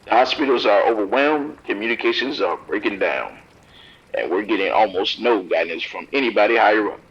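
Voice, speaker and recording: Dull phone-line sound, man acting stern police officer, low voice, blunt, commanding, grave, horror audio drama. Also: a little fast, fairly bright tone. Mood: weary, strained. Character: overwhelmed, grim, firm male police officer.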